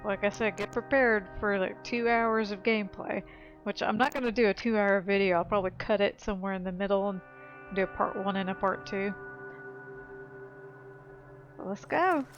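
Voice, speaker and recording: A woman hosts a casual game walkthrough in a mid-pitched voice. She talks informally, with slangy, playful asides. Faint game music sits behind her. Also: normal speed, pauses often, dull audio. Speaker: gaming video host.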